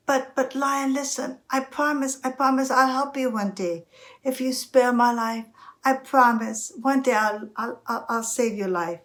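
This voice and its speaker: A tiny, humble mouse from a children's fable, put on by a woman storyteller. The voice sits up high and stammers through earnest, repeated phrases. It is small and eager. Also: measured pace, fairly bright tone. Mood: earnest, pleading.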